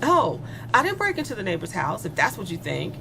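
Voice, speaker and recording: A woman's voice: mid-range voice, huge pitch swings, brisk, vocal fry, sharp tone, a little hiss or hum, full character voice, poor audio. A woman playing a spoiled, bored young female killer in a horror audio drama, confessing with sardonic, casually menacing glee. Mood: gloating, chatty.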